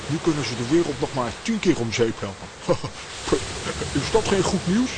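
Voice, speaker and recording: Cheeky, high-voiced comic adventurer. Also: male voice, very animated, a little slow, background noise. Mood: jovial, naive. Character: cheeky, stubborn comic adventurer, grown man. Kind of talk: children's radio play acting.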